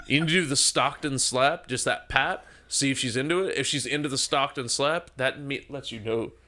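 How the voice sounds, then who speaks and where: Male comic co-host, brash and profane, bright forceful voice, faint hiss. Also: mid-range voice, moderate pace. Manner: brash, profane, mock sports-interview bits. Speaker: podcast co-host. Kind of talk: crude comedic banter.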